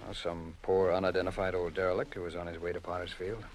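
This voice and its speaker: Man acting a smooth american g-man, vintage radio play, lowish voice, officious, cagey with little pauses. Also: level tone, a little hiss or hum, lightly acted. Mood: smug, assured. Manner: measured, coy, officious, deliberately pausing. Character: smooth, evasive, self-assured male federal agent.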